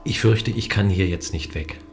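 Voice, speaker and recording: A man playing a gentle, dutiful guardian of a space station in a science fiction audio drama, speaking in a considerate, earnest, explaining way. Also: fairly low pitch, normal speed, faint music behind, close to an everyday voice. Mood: apologetic, earnest.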